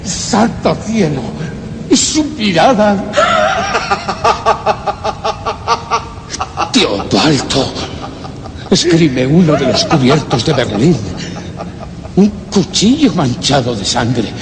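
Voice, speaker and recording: A man's voice: a little high, big pitch swings, slow, roomy sound, long reverb tail, a loud crowd, heavily acted, low-quality recording. An actor playing an anxious man trapped down a well in a suspense radio play, speaking in a dramatic, brooding way. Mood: horrified. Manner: dramatic, brooding inner monologue rising to cries.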